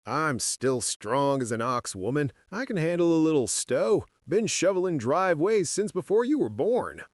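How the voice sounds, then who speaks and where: A man's voice: a little high, very animated, normal speed, no echo. A man plays a cigar-chomping old curmudgeon, stubborn and blustery. His grumbling swoops dramatically up and down in a comic radio skit, recorded close and dry.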